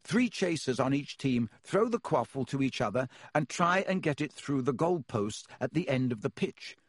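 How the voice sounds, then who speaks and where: A man reading an audiobook, voicing a young schoolboy who explains things flatly and patiently, sounding resigned. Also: mid-range voice, normal speed, no room sound, studio quality, natural voice. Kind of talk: audiobook character voice.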